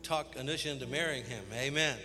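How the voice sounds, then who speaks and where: A man's voice: mid-range voice, a little fast. A man, a pastor introducing guests in church, punctuating with amen. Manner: warm-up host, calls out amen. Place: large church sanctuary. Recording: church hall reverb with music.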